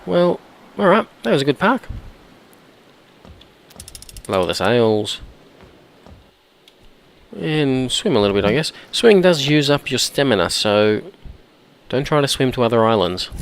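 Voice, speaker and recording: A man with a hoarse, croaky voice from a cold, chatting casually while he plays and often clearing his throat. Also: mid-range voice, big pitch swings, unhurried, long pauses. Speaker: gameplay commentator. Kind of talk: casual let's-play commentary.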